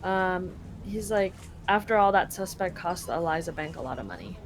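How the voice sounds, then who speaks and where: A woman's voice: on the low side, lively intonation, normal speed, slight background noise. Casual woman translating a game live. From Canada. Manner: loose, filler-heavy paraphrasing. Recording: game music behind.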